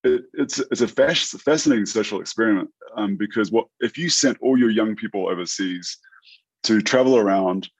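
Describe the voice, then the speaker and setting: A New Zealand man in his fifties with an American accent, a tech entrepreneur talking through an interview in a halting way, full of restarts and fillers. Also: fairly low pitch, slightly rough, dry recording. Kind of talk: casual interview chat.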